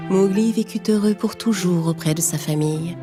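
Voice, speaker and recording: A woman's voice: fairly low pitch, moderate pace. A woman storyteller reading a scripted children's tale in narration style. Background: music.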